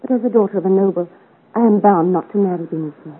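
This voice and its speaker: A woman's voice: mid-range voice, fast talker, narrow, tinny audio, lightly acted. An actress playing a proud, devoted young noblewoman in a 1950s radio melodrama, speaking earnestly in formal, theatrical period style. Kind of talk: 1950 radio serial romantic melodrama acting. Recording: thin, noisy old 1950s radio recording.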